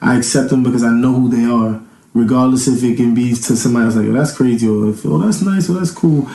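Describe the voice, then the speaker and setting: A man in his thirties talks casually as a guest in an interview. His voice is dark and mellow, and he rambles as he thinks out loud. The room around him is echoey. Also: mid-range voice, fast talker, gravelly. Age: 30s. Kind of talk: casual chat.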